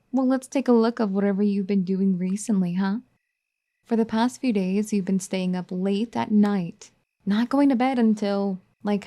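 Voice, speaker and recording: A woman voicing a firm but caring young wife who scolds and then gently pleads in an audio roleplay. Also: mid-range voice, natural voice. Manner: bossy teasing scolding that turns gentle and pleading. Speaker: ASMR roleplay performer. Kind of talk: audio roleplay addressed to the listener.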